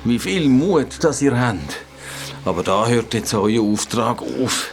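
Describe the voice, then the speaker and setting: An actor with a Swiss German accent playing a weary, kindly elder who speaks in a resigned, solemn way in a radio play. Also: male voice, medium pitch, expressive, slow pace, slight background noise.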